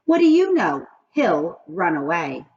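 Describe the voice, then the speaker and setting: A woman's voice: lowish voice, big pitch swings, unhurried, darkish tone, a little hiss or hum. Woman voicing a sour, stubborn schoolgirl, muffled sound. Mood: accusing. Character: stubborn, sour, contrary schoolgirl who insists she's right. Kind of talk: children's storybook character voice.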